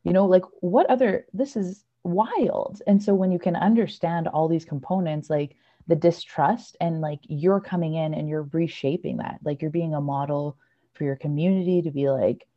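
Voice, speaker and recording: A woman's voice: low-pitched, moderate pace, dark tone. A woman interviewing a guest in a thoughtful, casual and affirming way. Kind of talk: conversational interview. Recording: dull lo-fi sound.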